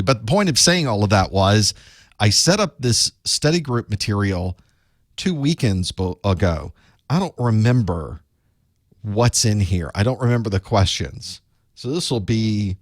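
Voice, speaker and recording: Low-voiced male instructor, a little raspy from a cold, loose and chatty with self-mocking asides. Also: dry recording, clean studio sound. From United States. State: chest cold, a bit raspy. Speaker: online course instructor hosting live study group. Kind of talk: casual live-stream chat.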